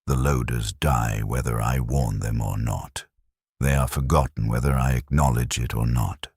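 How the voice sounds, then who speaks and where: A man narrating in character as a scarred, war-numbed veteran heavy gunner in a sci-fi story, grim, detached and resigned, with a gravelly voice. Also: extremely low pitch, a little mellow, dry recording, clean studio sound, full character voice. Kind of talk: scripted first-person dramatic narration.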